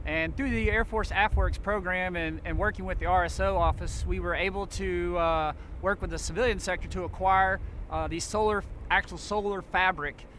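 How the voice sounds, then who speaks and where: Military man, high voice, halting unscripted explainer delivery, recorded outdoors with noticeable background noise. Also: fairly even intonation, fairly bright tone. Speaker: military air traffic control senior sergeant. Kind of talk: unscripted explanatory briefing to camera. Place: outdoors on an air base. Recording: noisy outdoor recording with vehicle ambience.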